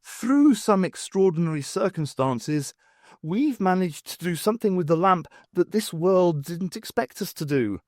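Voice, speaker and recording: A man voicing a brisk, clever adventurous woman who explains things in an audiobook. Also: high voice, animated, no echo, clean studio sound, pitched above the performer's own voice. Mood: wry. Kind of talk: audiobook character voice.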